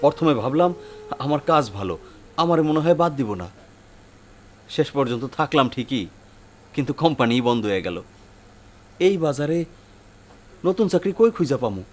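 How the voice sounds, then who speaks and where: An actor playing a troubled, devoted young migrant-worker son in a drama, speaking earnestly with a colloquial rural Bangladeshi accent. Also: male voice, fairly high pitch, expressive, moderate pace, pauses often, close to an everyday voice. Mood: despairing. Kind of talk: TV drama acting in dialect.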